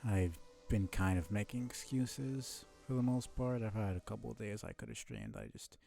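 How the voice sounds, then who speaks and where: Low, gravelly young man streaming casually. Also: sing-song, moderate pace, warm tone, soft background music. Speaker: game streamer. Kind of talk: casual livestream gameplay commentary.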